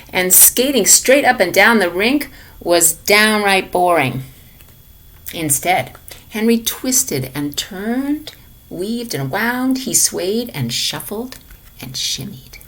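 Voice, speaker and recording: A woman reading a children's picture book aloud in an expressive way, as if to young listeners in a lesson. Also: fairly high pitch. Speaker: storybook reader for a lesson.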